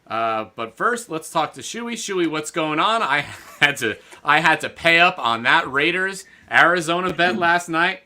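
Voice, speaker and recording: A man's voice: a little high, animated, unhurried, hardly any pauses, bright, forceful tone. A man co-hosting a casual sports betting show, talking loosely with lots of fillers and restarts. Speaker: podcast co-host.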